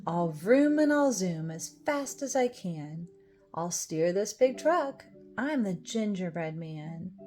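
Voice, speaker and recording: A plucky little storybook gingerbread man, played by a woman, bouncy and boastful in rhyme. He is small, excitable and cheeky, speaking at an unhurried pace over music. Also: fairly low pitch. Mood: playful, boastful. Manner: bouncy, rhyming boasts and exclamations.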